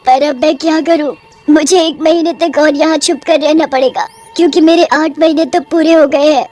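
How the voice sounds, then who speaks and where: A woman's voice: high-pitched, steady tone, moderate pace, darkish tone, soft background music, lightly acted. A woman voicing a sorrowful pregnant witch, a young dead wife turned ghost, in a plaintive, dramatic cartoon style. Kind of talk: Hindi horror cartoon voice acting.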